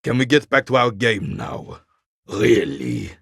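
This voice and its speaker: An actor playing a bitter, proud old war veteran in a video game, speaking with a gravelly rasp and curt, scornful disdain. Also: male voice, on the low side, very animated, a little slow, a bit bright, dry recording, clean studio sound, exaggerated voice. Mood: curt, dismissive. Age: older. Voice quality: gravelly, raspy. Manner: curt, scornful, dismissive, old-soldier pomp. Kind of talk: video game character dialogue.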